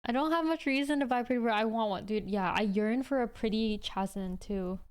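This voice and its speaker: A woman's voice: a little high, fairly quick, smooth. A woman in her twenties hosting a livestream, chatting casually with viewers in a rambling way full of "like". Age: 20s. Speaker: livestream host.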